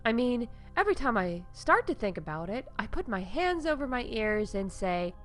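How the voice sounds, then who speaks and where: A woman's voice: fairly high pitch, very animated, normal speed, faint music behind. A woman voicing an anxious, evasive female park employee in a flustered, overdramatic way for a comedy audio show. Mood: nervous, evasive. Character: anxious, evasive female park staffer fretting over mystery.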